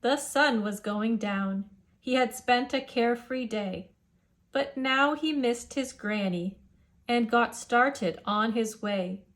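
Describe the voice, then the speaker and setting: Woman storyteller, high voice, unhurried pace, rhythmic rhyming picture-book narration. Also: fairly bright tone. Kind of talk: children's picture book read-aloud narration.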